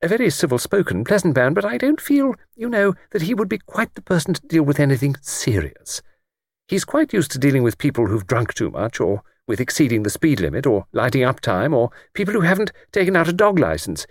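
A man voicing a gentle, chatty old English lady in an audiobook, with a thin, quiet voice and a hesitant, rambling, genteel manner. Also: a little high, dry recording, clean studio sound, voice pushed up. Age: older. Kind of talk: audiobook character reading.

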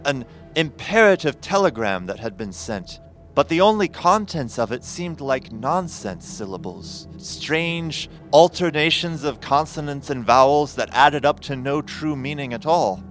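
An actor playing a grave, formal professor who narrates a horror audio drama in a measured, literary way. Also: male voice, fairly high pitch, expressive, fairly bright tone, soft background music. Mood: grave, recounting.

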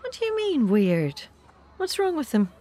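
A woman acting a bereaved, searching mother in an audio drama, naturalistic and halting, with anxious care. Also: high-pitched, huge pitch swings, warm tone, full character voice. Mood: concerned.

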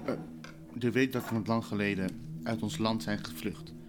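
An actor playing an earnest middle-aged father who is leaving to fight in a war, speaking haltingly and solemnly in an audio drama. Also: lowish voice, steady tone, close to an everyday voice. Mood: solemn, resolute. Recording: loud music bed under voice.

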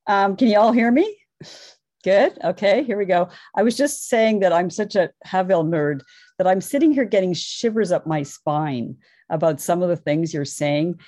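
A woman's voice: on the low side, moderate pace, warm tone, no echo, clean studio sound. A woman scholar giving a conference presentation, earnest and enthusiastic, thinking aloud as she goes. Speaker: academic panelist.

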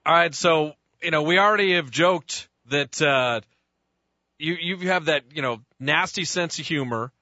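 A man hosting sports radio speaks in a bright, fairly high voice that sounds a bit muffled and dry. His questions ramble along with plenty of restarts and fillers. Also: measured pace, no echo. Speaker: radio sports show host. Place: radio studio.

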